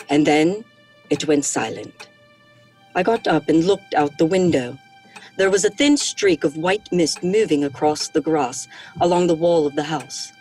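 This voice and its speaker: A haunted woman in a theatrical horror drama. She speaks very slowly and deliberately, as if entranced by eerie visions, with soft music behind her. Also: lowish voice. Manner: hushed, deliberate, dreamlike.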